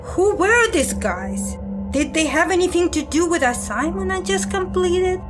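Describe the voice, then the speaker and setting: A woman's voice: very high voice, expressive, moderate pace, over music. A woman playing a tough, guarded secret agent on the run in an audio drama, speaking tersely. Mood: puzzled, anxious.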